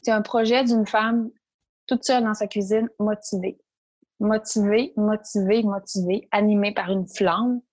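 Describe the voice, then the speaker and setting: A woman with a Québécois French accent speaks as a guest entrepreneur in an interview. She talks in a lively, animated way and often acts out her own inner thoughts as she tells her story. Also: medium pitch, unhurried, rough recording. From Quebec. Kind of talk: interview conversation.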